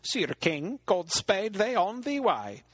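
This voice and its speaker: A man's voice: sits high, big pitch swings, slow pace, a bit bright, husky, no room sound, pitched above the performer's own voice, exaggerated voice. A man voicing a loathly old hag who demands a knight for a husband, declaiming archaic verse. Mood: demanding.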